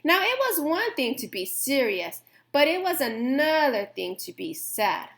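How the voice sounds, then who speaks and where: Expressive woman reading a children's story. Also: high-pitched, measured pace, vocal fry. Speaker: teacher reading aloud. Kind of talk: children's storybook read-aloud.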